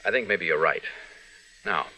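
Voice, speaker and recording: An actor playing an earnest, reassuring, businesslike newspaper reporter in an old radio drama. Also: male voice, low-pitched, moderate pace, pushed and bright, full character voice, low-quality recording. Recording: old radio recording, faint noise.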